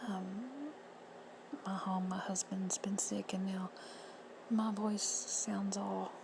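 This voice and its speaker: Hoarse, gravelly woman with a husky voice. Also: medium pitch, little pitch movement, takes it slowly. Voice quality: whispery, husky. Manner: plain, friendly step-by-step instructions. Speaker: reseller tutorial host. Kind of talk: casual screen-recorded how-to. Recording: noisy home recording.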